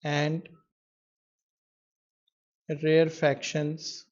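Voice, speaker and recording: Slow, flat-toned male tutor. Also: on the high side. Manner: measured, pausing while writing out answers. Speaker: physics tutor. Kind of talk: tutorial explanation of an exam question. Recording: dull lo-fi microphone sound.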